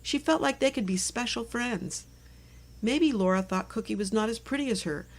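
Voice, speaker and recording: A woman reading a children's chapter book aloud as narrator, in clear, simple phrases meant for young listeners. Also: on the high side, moderate pace. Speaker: storybook narrator.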